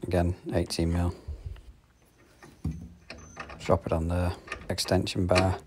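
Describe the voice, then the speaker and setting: A man's voice: sits low, completely flat delivery, slow pace, noisy background. A man in his thirties, a plumber, narrating matter-of-factly as he works on a job in a customer's home. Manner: matter-of-fact, talks while working. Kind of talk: working vlog narration.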